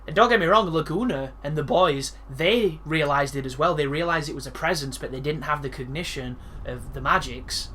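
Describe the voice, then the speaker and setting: Bright-voiced man rambling over a game. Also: a little high, normal speed, hardly any pauses, slightly rough. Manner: rambling and chatty, with frequent restarts and asides. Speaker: let's play host. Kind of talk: casual live gameplay commentary.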